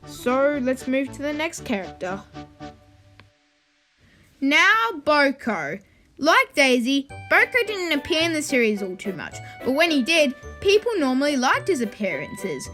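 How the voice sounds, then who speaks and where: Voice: high voice, big pitch swings, a little slow, bright, forceful tone, soft background music. A video host giving informal opinion commentary in a ranking video, with conversational asides.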